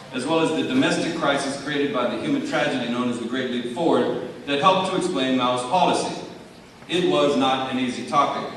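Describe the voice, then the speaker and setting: A male high school teacher delivering a prepared award speech in a measured, articulate, formal way with dry wit. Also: fairly bright tone. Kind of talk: prepared speech, wryly humorous tribute. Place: large hall at an awards ceremony. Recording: very echoey hall, far from mic, faint noise.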